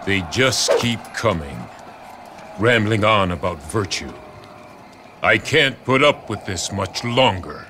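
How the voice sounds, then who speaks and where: An actor playing a proud, determined military commander in a video game, speaking deliberately. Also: male voice, fairly low pitch, animated, background noise. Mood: weary disdain. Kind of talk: dramatic video game cutscene acting.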